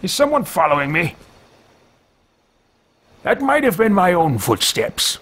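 A man's voice: high-pitched, huge pitch swings, a little slow, fairly bright tone, husky, exaggerated voice. A man voicing a formal male mage adventurer who mutters to himself in stately phrases while roleplaying a game. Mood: musing.

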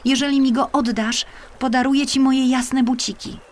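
A woman's voice: high-pitched, flat delivery, moderate pace, slight background noise, voice pushed up, lightly acted. A woman voicing a small, trusting, determined girl in a storybook, earnest and childlike. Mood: earnest, hopeful. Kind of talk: storybook character voice.

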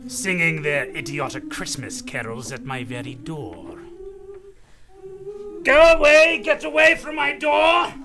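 A man's voice: a little high, expressive, unhurried, gravelly, over music. A man playing a grumpy, cold old miser in a radio play, scornful and blustering. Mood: irate.

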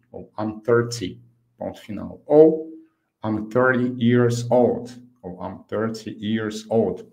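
A man in his fifties with a Brazilian accent, a native Portuguese speaker, teaching an online English lesson and slowly enunciating example phrases. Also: fairly low pitch, creaky, darkish tone, rough recording. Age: 50s. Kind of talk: online language lesson, explaining and modelling phrases.

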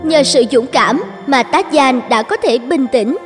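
Lady reading a kids fairy tale, high voice, lots of reverb, soft music. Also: animated, a little slow. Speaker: narrator. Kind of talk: scripted children's story narration. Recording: long reverb, faint music behind.

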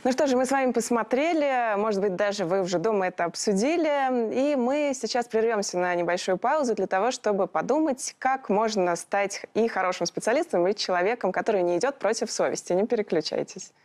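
A woman in her thirties presenting a studio talk show in a chatty, expressive conversational way. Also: mid-range voice, a little fast, hardly any pauses, faint music behind. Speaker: television talk show host.

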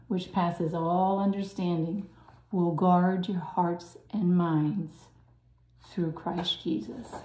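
A woman with a raspy voice preaching to her viewers in an earnest, urgent way, often dropping to a soft, breathy hush. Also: lowish voice, echoey room, low-quality recording. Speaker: channel host preaching.

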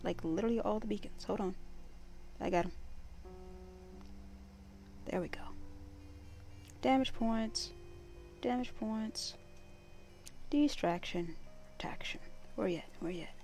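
A woman's voice: medium pitch, lively intonation, measured pace, long pauses, darkish tone, soft background music. A woman livestreaming a game with casual, playful, chatty live commentary. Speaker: gaming livestreamer.

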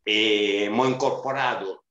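A man's voice: on the low side, steady tone, measured pace, sharp tone. A man in his fifties from Sicily, speaking with an Italian accent as an interview guest, hesitant and full of fillers and restarts, on a video call. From Sicily, Italy. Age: 50s. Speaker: interview guest, club director. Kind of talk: casual interview answers. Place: small room at home. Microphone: video call webcam. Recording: roomy, dull video call audio.

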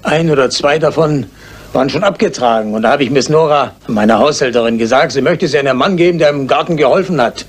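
A man's voice: mid-range voice, fast talker, close to an everyday voice. A man acting an old, reclusive retired judge, defensive and fearful, in a German radio crime play. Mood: anxious, confiding. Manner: stern, defensive. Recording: faintly noisy old radio play recording.

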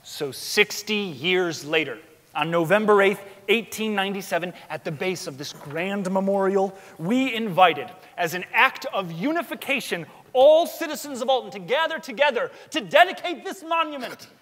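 A man's voice: high-pitched, animated, normal speed, fairly bright tone, long reverb tail. A young man giving scripted dramatic narration on a theatre stage.

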